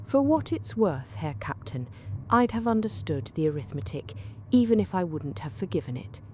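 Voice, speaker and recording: A woman playing a composed, unforgiving English prisoner with measured, wry formality in a radio drama. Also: fairly low pitch, big pitch swings, mellow, slight background noise. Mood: cool, resigned.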